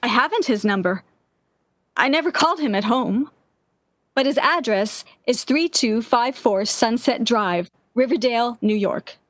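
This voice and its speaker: An actress playing an anxious, lovesick young woman in 1940s-style radio theater, pleading and halting in a melodramatic way. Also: fairly high pitch, no echo, lightly acted. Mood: anxious, hurt. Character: Anne, lovesick, anxious, desperate young woman clinging to love.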